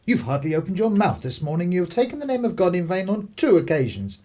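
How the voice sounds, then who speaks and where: A man's voice: high-pitched, sing-song, fast, warm tone. A man acts out a middle-aged English clergyman father of the 1930s. He is formal, pompous and disapproving, with a widely moving intonation suited to radio drama. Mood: stern reproach. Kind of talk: radio play acting.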